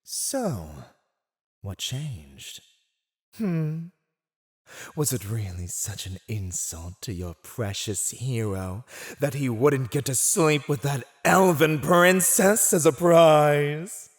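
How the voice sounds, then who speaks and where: Fantasy villain warlord, silver-tongued, slow drawn-out taunts, wildly swooping pitch, smug mockery, audio roleplay. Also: male voice, lively intonation. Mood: gleeful mockery. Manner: teasing, mocking, theatrical, drawn-out delivery with chuckles. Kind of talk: ASMR roleplay, scripted villain monologue.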